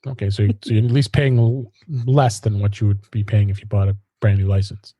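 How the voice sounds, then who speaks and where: A man's voice: on the low side, fairly quick, dark tone, slightly rough, no room sound. A man hosting a casual tech podcast, rambling along and often repeating words as he restarts his sentences. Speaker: podcast host.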